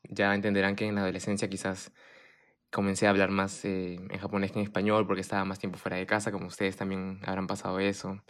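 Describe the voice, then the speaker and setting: A man's voice: low-pitched, fast. Young man chatting casually. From Japan. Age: young adult. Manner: relaxed, chatty, many fillers and restarts. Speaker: podcast guest. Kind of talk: casual chat.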